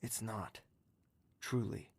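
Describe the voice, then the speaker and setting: An actor playing a gentle, protective, courtly confidant, speaking softly and earnestly with formal manners in an audio drama. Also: male voice, slow, dry recording, close to an everyday voice. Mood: reassuring. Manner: soft, earnest, reassuring, formal.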